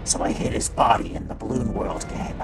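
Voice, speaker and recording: A performer playing a guilt-ridden male robot daycare attendant in a fan comic dub, halting and sombre, with a gravelly voice that drops into whispers. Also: mid-range voice, expressive, heavy background noise. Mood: haunted regret.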